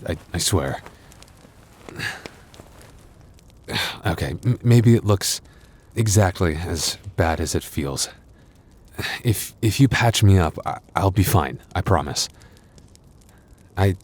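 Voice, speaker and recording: Deep, slow, wounded werewolf boyfriend, acted by a man. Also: a little mellow, slightly rough, full character voice. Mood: pained, nervous. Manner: halting, sighing, intimate delivery. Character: wounded, exhausted male werewolf boyfriend, tender and reassuring. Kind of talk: boyfriend ASMR audio roleplay.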